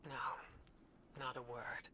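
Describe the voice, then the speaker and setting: A woman playing a scholarly, earnest warrior leader in a video game, measured and soft-spoken. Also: very deep voice, little pitch movement, whispered, heavily acted. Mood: serious. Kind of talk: scripted video game dialogue. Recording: clear background noise.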